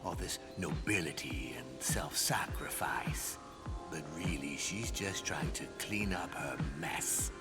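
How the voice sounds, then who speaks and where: A man's voice: extremely low pitch, lively intonation, takes it slowly, over loud music, full character voice. A man voicing a sly, bitter video game guide in a theatrical, insinuating murmur. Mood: bitter, scornful. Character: sly mysterious male guide, bitter, egging on rebellion.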